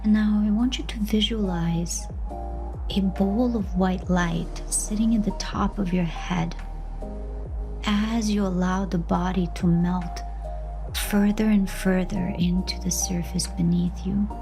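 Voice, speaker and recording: A woman leading a guided meditation in a gentle, unhurried manner, pausing between short soothing phrases of instruction. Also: medium pitch, fairly even intonation. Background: background music.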